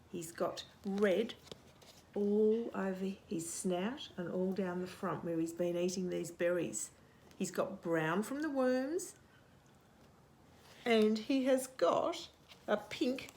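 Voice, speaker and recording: A woman reading a picture book aloud to young children as a storytime host, expressive, with hushed asides and questions to the listener. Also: medium pitch. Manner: animated, expressive, asks questions and pauses for answers.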